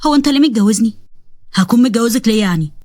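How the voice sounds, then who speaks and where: A woman's voice: on the high side, animated, very fast, mellow, dry recording. A woman with an Egyptian accent voicing a cruel, domineering husband who talks in an insulting, bossy way. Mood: contemptuous.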